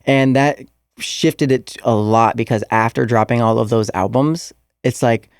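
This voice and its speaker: A man's voice: medium pitch, steady tone, measured pace, smooth, no echo, studio quality. A young man, a musician guest in an interview, answering casually with many fillers and restarts.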